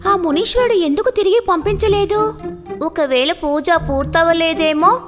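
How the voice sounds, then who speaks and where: A woman's voice: very high voice, normal speed. A woman voicing a little cartoon rat, curious, trusting and earnest in a childlike way. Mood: puzzled. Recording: clear music behind.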